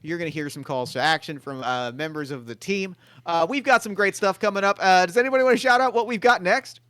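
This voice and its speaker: A man narrating the stage directions of a table read and hosting the stream, steady and matter-of-fact. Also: high-pitched, quick pace, smooth, no room sound.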